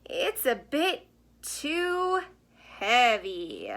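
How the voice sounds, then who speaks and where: Lady doing a little storybook bear cub, high and slow, super earnest, wants a hug. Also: huge pitch swings, creaky, a bit bright, exaggerated voice. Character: young brown bear, earnest, longing for a hug. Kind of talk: children's storybook read-aloud.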